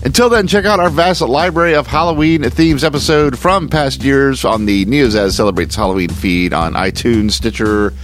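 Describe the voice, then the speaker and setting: A man's voice: mid-range voice, moderate pace, hardly any pauses, faint music behind. A man hosting a podcast, giving a scripted sign-off. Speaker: podcast host.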